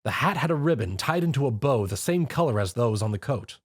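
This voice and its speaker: A man reads a fan fiction story aloud as narrator. His voice sits at an ordinary pitch but moves with lively swings. He tells the story expressively. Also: a little fast.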